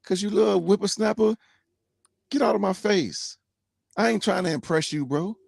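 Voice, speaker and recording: A man's voice: high-pitched, normal speed, gravelly, a little mellow, breathy edge, no room sound, clean studio sound. A man in his forties, a team trucker and co-host, talking bluntly and preachily in casual slang. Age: 40s. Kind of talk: casual podcast chat.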